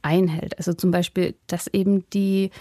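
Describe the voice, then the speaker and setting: A woman speaks as a linguistics professor in an interview. Her voice is mellow and warm, and she explains with lively everyday examples and laughs easily. The recording is dry and close. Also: fairly low pitch, moderate pace, no echo. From Upper Franconia, Germany. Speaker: linguistics professor and author, guest.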